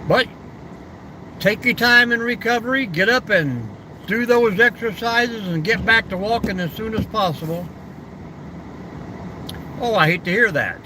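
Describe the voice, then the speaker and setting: A man's voice: sits high, measured pace, pauses often, noisy background. An older man hosting a casual live stream, answering viewer comments in an easygoing, folksy way and reminiscing about the old days.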